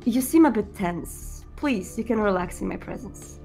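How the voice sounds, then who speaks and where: A woman playing a suave male vampire prince in a tabletop game, speaking formally, politely and with measured authority. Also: medium pitch, expressive, vocal fry, darkish tone, soft background music. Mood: reassuring.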